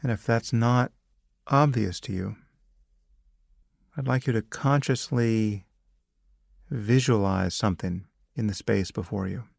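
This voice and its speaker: Low-pitched man guiding meditation, slightly gravelly. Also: no echo, clean studio sound. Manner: calm, measured, with long pauses between short phrases. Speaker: meditation teacher. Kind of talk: guided meditation, spoken instruction.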